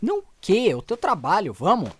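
A man's voice: high-pitched, huge pitch swings, brisk, fairly bright tone, breathy edge, exaggerated voice. A man playing an exasperated, nagging journalist partner who scolds his colleague in an audio drama. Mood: coaxing.

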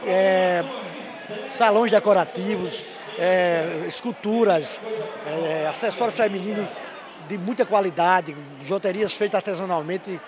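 A middle-aged man interviewed at an indoor fair, talking haltingly with many restarts into a handheld mic. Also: high voice, crowd noise. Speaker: fair organizer.

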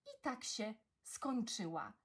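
A woman narrating a children's poem in an expressive, theatrical style with deliberate phrasing. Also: a little high, breathy edge. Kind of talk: expressive children's poetry reading.

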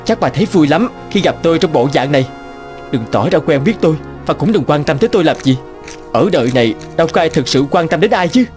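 A man's voice: a little high, lively intonation, fast, darkish tone, close to an everyday voice. A man playing a proud, guarded young garage worker fallen on hard times in a cartoon. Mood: bitter. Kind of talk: cartoon dubbing. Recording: music behind the voice.

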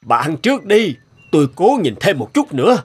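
A man's voice: a little high, big pitch swings, moderate pace, breathy edge. A man playing an earnest, obsessive middle-aged village farmer and inventor in a cartoon, explaining things earnestly. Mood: preoccupied. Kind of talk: cartoon dialogue.

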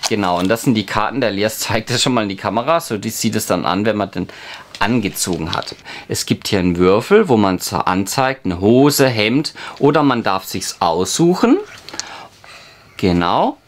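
A man's voice: fairly low pitch, animated, moderate pace. A man, casually and patiently explaining a children's game to a child in an encouraging way. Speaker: father playing and explaining a children's board game. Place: indoors at a table.

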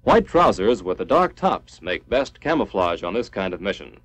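Animated male 1950s training film narrator. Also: on the low side, normal speed. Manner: formal, clipped declarative instruction. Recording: dull lo-fi 1950s film soundtrack.

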